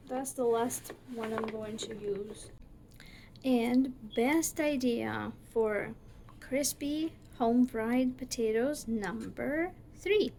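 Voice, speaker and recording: A young woman hosting a casual cooking video, chatty and full of fillers, her voice raspy from wildfire smoke. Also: on the high side, a little mellow, a little hiss or hum. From northern United States.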